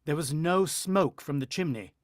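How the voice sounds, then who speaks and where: A man's voice: fairly high pitch, lively intonation, moderate pace, no room sound, clean studio sound, lightly acted. A man acting a desperate, haunted husband in a radio play, telling of a siege in grave, clipped, intense style. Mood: grim, haunted. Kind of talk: dramatic radio play narration and dialogue.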